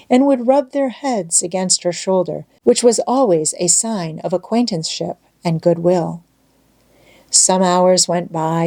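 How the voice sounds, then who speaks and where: A woman's voice: lowish voice, dark tone. A woman reading a children's storybook aloud as scripted audiobook narration.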